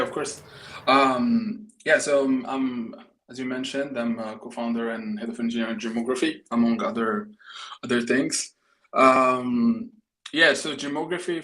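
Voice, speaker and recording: A man from Morocco with a mid-pitched voice talks as an interview guest. He explains things conversationally, in a halting way, often repeating a word before he moves on. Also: fairly bright tone, rough recording. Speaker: interview guest, startup co-founder and engineering head.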